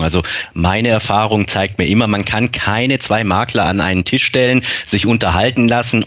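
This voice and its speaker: A man with a soft Swabian accent explains things in a measured, lecturing way. His voice sits fairly low. The sound is dry and close, with no room around it. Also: dry recording. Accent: light Swabian, softened. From Upper Swabia, southern Germany. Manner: measured, lecturing, legal phrasing. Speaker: guest, insurance broker trainer. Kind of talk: explanatory podcast talk.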